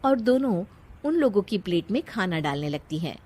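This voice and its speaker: A woman's voice: mid-range voice, very animated, moderate pace, darkish tone. A woman narrating a scripted family story as a dramatic storyteller.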